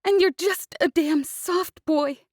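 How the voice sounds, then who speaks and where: A woman's voice: a little high, expressive, a little slow, darkish tone, husky, no echo, studio quality, voice pushed up. A woman voicing a young woman pilot who is crying and swearing at him in anger and fear. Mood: furious, sobbing. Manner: angry, sobbing, swearing.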